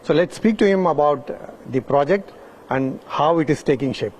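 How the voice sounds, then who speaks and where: A man's voice: a little high, expressive, moderate pace, a little mellow, husky, low-quality recording. Hesitant male reporter. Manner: hesitant, many "uh" fillers. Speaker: reporter interviewer. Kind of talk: on-the-spot event interview. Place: busy summit venue.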